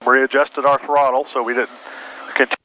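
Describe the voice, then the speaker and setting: A middle-aged American man, a pilot, calmly explaining things in casual cockpit talk and radio calls. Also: medium pitch, moderate pace. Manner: calm, explanatory. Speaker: pilot and flight mentor. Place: small airplane cockpit in flight. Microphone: aviation headset. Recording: muffled aviation headset, cockpit noise.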